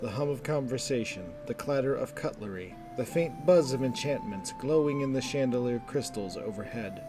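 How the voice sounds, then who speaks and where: A man narrating a scripted fantasy story in a measured, descriptive storytelling manner. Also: medium pitch, animated. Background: music.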